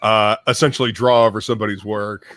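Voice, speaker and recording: A man's voice: fairly low pitch, level tone, quick pace, a bit bright, no echo, studio quality. A man hosting a casual live stream chat, rambling between topics, swearing freely and greeting viewers in the chat. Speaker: stream host.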